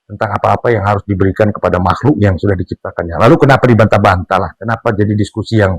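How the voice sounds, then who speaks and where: A man in his sixties with an Indonesian accent, an interviewed father speaking earnestly about his faith and family, pausing with fillers and rising on emphatic points. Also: lowish voice, steady tone, husky, dry recording. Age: 60s. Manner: earnest, preachy, pauses with fillers, rises emphatically on key points. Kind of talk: casual family conversation.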